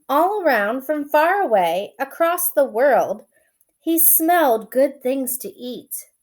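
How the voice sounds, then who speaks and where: A woman reading a children's picture book aloud expressively, drawing out phrases and adding simple asides for young listeners. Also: high-pitched. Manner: expressive, drawn-out delivery. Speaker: storybook reader.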